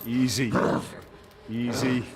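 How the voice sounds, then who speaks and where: An actor playing a steady, plain-spoken ranger in a video game, with calm, understated delivery. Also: male voice, medium pitch, takes it slowly, very noisy, natural voice. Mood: soothing. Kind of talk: naturalistic video game acting. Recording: loud music behind. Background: loud music.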